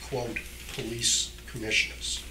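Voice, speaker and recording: A man putting questions at a public meeting, polite but insistent and a little halting. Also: medium pitch, flat delivery, sharp tone. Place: municipal meeting room. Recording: echoey, noisy room, distant microphone.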